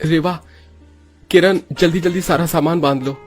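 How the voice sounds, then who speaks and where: A man's voice: fairly high pitch, steady tone, normal speed, soft background music, voice pushed up, close to an everyday voice. A man voicing a young village husband, urgent, protective and pleading, in a melodramatic story style. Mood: alarmed. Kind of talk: melodramatic Hindi audio story acting.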